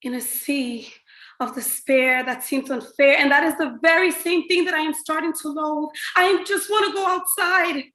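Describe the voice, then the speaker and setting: A woman's voice: sits high, normal speed, pushed and bright. An actress performing a rhymed spoken-word monologue with dramatic, pleading delivery, a woman in a roomy, echoing recording.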